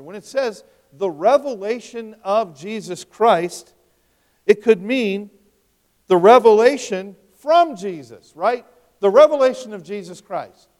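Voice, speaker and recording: A man in his fifties preaching an expository sermon, talking conversationally and asking his listeners rhetorical questions. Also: high voice. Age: 50s. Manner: conversational, rhetorical questions, wide swings in loudness. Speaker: pastor. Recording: long hall reverb.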